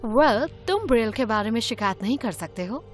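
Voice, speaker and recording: High-pitched earnest teenage girl played by a woman. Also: lively intonation, moderate pace, close to an everyday voice. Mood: defensive. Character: teenage schoolgirl narrating her own story, awkward and earnest. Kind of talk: dramatised first-person animated story narration. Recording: music behind the voice.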